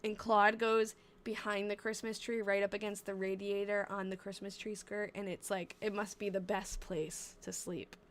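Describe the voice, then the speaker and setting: A woman's voice: medium pitch, level tone, moderate pace, hardly any pauses. A woman in her twenties with a stuffy nose and a fading voice, chatting casually on a live stream and reading short diary lines aloud between her comments. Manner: chatty, breaks off mid-sentence, reads short diary lines then comments. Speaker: livestream host reading old diaries.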